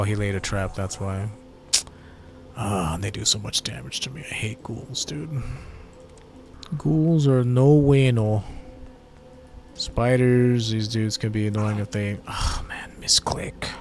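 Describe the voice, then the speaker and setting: A man's voice: fairly low pitch, a little mellow. Tired-voiced man in casual game commentary. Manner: laid-back, swears at mishaps, slangy. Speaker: gameplay commentator. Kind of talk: casual let's-play commentary.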